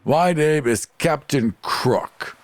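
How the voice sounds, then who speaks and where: A man's voice: on the high side, expressive, takes it slowly, no room sound, voice pushed up. A man playing a grandiose, chivalrous sea captain bent on revenge, speaking in formal, theatrical declamations in a tabletop game. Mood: grandiose, vengeful.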